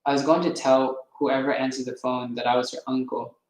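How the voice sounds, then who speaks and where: A man's voice: mid-range voice, flat delivery, fairly quick. A man reading a novel aloud, now and then stumbling over words and correcting himself. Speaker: reader. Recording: roomy echo.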